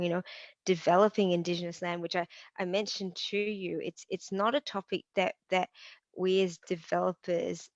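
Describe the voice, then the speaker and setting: A woman's voice: lowish voice, no room sound. Woman hosting a live stream, lots of um and restarts, dry close mic, kinda dull audio. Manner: hesitant, many restarts and fillers. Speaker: host. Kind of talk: live webinar interview.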